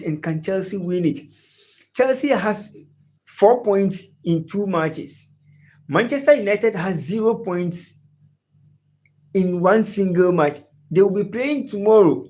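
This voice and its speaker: Ghanaian guy running a football livestream, fairly high voice, keeps repeating himself and saying hi to people in chat. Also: a little mellow. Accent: Ghanaian English. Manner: repetitive, drawn-out questions, greets viewers by name. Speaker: sports livestream host. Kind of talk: live sports chat reading viewer comments.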